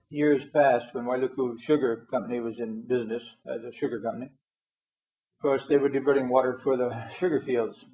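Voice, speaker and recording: A man's voice: medium pitch, darkish tone, low-quality recording. A man from Hawaii, talking in a rambling, hesitant way in an interview. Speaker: interviewee, local resident. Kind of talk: casual interview talk.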